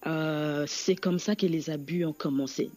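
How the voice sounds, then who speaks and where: A woman in her thirties from the Democratic Republic of the Congo, calling in to a radio show to give a personal testimony in a soft, halting way with frequent pauses. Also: low-pitched, steady tone. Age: 30s. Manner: halting, soft-spoken, pauses often with fillers. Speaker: caller.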